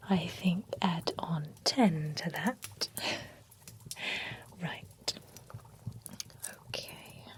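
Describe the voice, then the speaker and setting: A woman's voice: mid-range voice, close to an everyday voice. A woman whispering an ASMR roleplay as a female vampire spa groomer who chats politely while she trims a client's hair. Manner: soft whisper, polite salon chatter.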